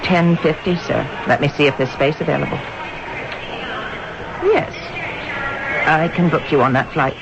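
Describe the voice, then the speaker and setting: A man's voice: on the high side, a little slow, creaky, pauses often. An actor playing a polite, businesslike male airline ticket clerk in a 1970s radio drama. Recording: muffled broadcast, loud terminal noise.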